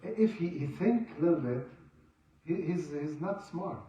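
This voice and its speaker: A man's voice: fairly high pitch, animated, measured pace, mellow. A man teaching a religious class, quizzing his listeners and repeating key words with emphasis. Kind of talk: informal question-and-answer lesson. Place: mosque prayer hall. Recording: echoey hall, far from the mic, dull.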